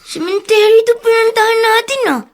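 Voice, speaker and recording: A woman's voice: extremely high pitch, fairly quick. A woman with a Filipino accent playing a sweet, timid young street boy with a secret, in a radio drama. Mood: curious, earnest.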